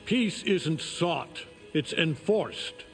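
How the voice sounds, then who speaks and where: An actor playing an arrogant, menacing alien conqueror in a fighting game, commanding and contemptuous. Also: male voice, a little high, lively intonation, extremely slow, creaky, big-room sound, faint music behind, heavily acted. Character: arrogant, menacing alien superhuman conqueror, male, middle-aged. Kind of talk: fighting game character banter.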